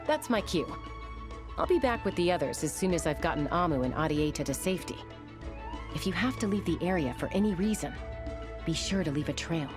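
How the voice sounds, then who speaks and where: A wary, level-headed woman, as an actress voices her, speaking in a low-set voice with measured caution. She weighs doubts aloud. Game music plays clearly behind. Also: lively intonation, natural voice. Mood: resolute. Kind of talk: video game voice acting.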